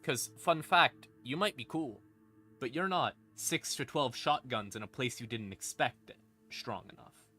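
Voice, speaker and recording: A man's voice: medium pitch, unhurried, pushed and bright, husky. A man explaining lore on a stream in a casual, unscripted, irreverent way, swearing freely. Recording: background music under voice. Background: ambient music.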